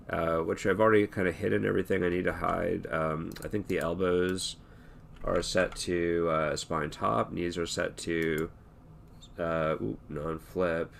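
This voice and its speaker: A hoarse man talking through an animation tutorial in a relaxed, thinking-aloud way, with many fillers and restarts. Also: deep voice, slight background noise. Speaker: animation instructor. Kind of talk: screen-recorded tutorial talk.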